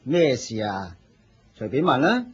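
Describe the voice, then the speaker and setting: A man's voice: mid-range voice, sing-song, measured pace, slightly rough, dull audio, heavily acted. A man with a Hong Kong Cantonese accent playing a stern, pompous and zealous eldest disciple in a radio drama, authoritative and dogmatic. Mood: solemn, pompous.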